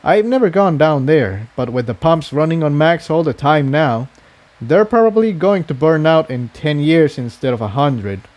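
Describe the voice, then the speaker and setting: A man's voice: a little high, lively intonation, normal speed, darkish tone, voice pushed up, close to an everyday voice. A man voicing an earnest, practical young male unicorn pony who explains things matter-of-factly in a story. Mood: explaining.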